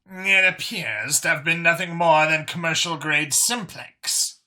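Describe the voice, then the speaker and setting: A man's voice: a little high, animated, measured pace, pushed and bright, voice pushed up, full character voice, rough recording. A man voicing a composed, businesslike alien woman who sits as a council official in a science fiction audiobook. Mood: firm, measured. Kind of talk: audiobook character acting.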